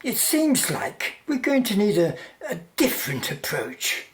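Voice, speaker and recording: Radio drama villain: quietly menacing businessman, played by a woman, polite, unhurried, with lively pitch swings. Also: a little hiss or hum. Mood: calculating. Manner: polite, formal, persuasive. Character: suave, calculating male company owner, quietly menacing.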